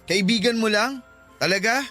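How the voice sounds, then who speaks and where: A man's voice: sits high, normal speed, sharp tone, faint music behind. A man playing a hurt, suspicious boyfriend in a Filipino radio drama, insistent and earnest, with a Filipino accent.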